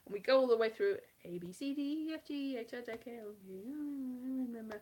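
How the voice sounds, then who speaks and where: A woman's voice: on the high side, moderate pace, darkish tone. Woman, from South Wales, light West Country-tinged accent, comedian, rambling self-mocking chat, kitchen live stream. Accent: light West Country-tinged Welsh. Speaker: comedian performing at a festival. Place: home kitchen.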